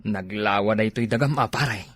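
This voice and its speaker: A man's voice: on the low side, fairly quick, slightly rough, dry recording. A man with an Ilocano accent playing an agreeable, easygoing drinking buddy in a comedy drama.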